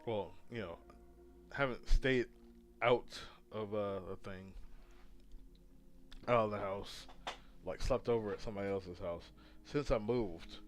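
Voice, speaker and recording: A hungover man casually chatting with his livestream viewers as he sets up a game, rambling and halting. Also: lowish voice. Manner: rambling, halting, talks to chat while fiddling with setup. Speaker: gaming livestreamer.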